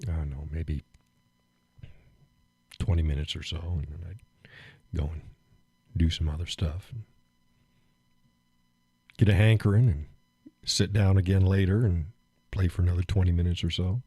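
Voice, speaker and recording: A man hosting a live stream, chatting casually and rambling with fillers and chuckles, phlegmy and clearing his throat. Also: deep voice, steady tone, unhurried, long pauses, dark tone, no echo. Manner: rambling, chuckling, thinks aloud with many fillers. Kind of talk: casual chat reading viewer comments.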